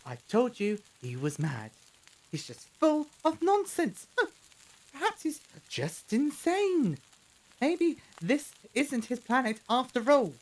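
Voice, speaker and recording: A woman's voice: high voice, sing-song, slow, a little mellow, heavily acted, poor audio. A woman playing a gloomy, complaining captive in a fan audio drama, dismissive and sardonic. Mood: scornful.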